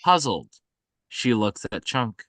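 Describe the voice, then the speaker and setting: A man's voice: medium pitch, sing-song, takes it slowly, a bit bright, no echo. A man reading stage directions as the narrator at a table read of a film script.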